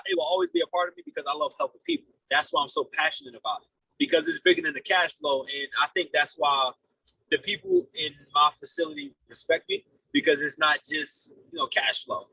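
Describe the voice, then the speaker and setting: Young man, animated with a gravelly edge. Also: a little high, no room sound, poor audio. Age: late 20s. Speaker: podcast guest, real estate investor. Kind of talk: casual interview answers.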